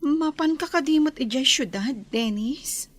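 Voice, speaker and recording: A woman's voice: high voice, a little slow. A woman playing a sweet young village woman in an Ilocano comedy radio drama, with an Ilocano Filipino accent. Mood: affectionate, teasing. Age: young adult. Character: sweet young village woman attached to her childhood friend.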